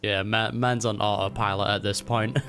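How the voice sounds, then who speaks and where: A man's voice: fairly low pitch, little pitch movement, normal speed. Young man, laid-back slangy reaction commentary.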